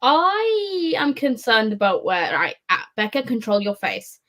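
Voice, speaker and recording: A woman's voice: a little high, sing-song, measured pace, fairly bright tone. A woman in a casual live book discussion, rambling along in a wry, sweary way. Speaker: book readalong panellist.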